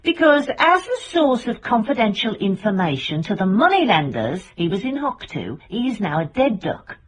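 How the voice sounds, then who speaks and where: A woman's voice: medium pitch, big pitch swings, moderate pace, slightly rough. An actress playing a dry, probing middle-aged British woman investigator in a radio drama, with a wry, understated way of questioning. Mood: cool, probing.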